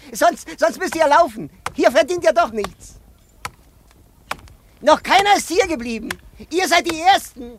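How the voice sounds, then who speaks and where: High, slow, jeering village barber. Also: male voice, animated, a little hiss or hum. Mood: gleeful mockery. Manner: mocking, cackling between phrases. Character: jeering barber from nearby village, taunting newcomers. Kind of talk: German radio play acting.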